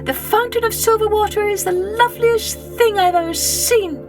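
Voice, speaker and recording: A woman voicing a gushing, excitable young girl in a children's storybook, full of breathless exclamations. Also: very high-pitched, normal speed, music in the background, voice pushed up. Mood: overjoyed.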